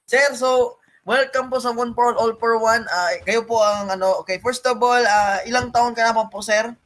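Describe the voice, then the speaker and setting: A man with a Filipino accent hosting an improvised game show interview in a halting, repetitive way. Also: very high-pitched, fairly bright tone. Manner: halting, repetitive, many sirs. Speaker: show host.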